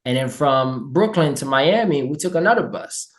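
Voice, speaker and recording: A man from Haiti with a Haitian accent, a guest telling his life story in an energetic, upbeat interview chat. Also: fairly high pitch, moderate pace. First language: Haitian Creole and French.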